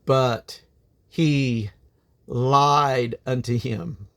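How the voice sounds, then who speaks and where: A man's voice: medium pitch, steady tone, drawn-out words, fairly bright tone. A man reading the Bible aloud as a dramatic narrator, declaiming quoted speech with emphasis. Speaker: Bible reader.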